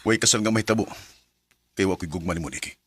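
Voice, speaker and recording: A man's voice: low voice, quick pace, a bit bright, no room sound. An actor playing a scheming young suitor out to avenge his mother in an emotional radio drama, with a Cebuano accent. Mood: cold, vengeful.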